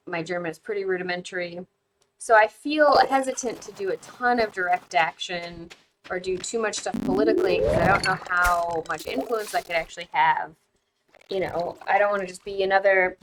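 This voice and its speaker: Streamer, woman, from the US, casual talky delivery full of fillers, noisy home mic. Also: mid-range voice. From United States. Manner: chatty, thinks aloud with fillers. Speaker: streamer and host. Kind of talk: casual chat while playing a game. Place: home, living abroad.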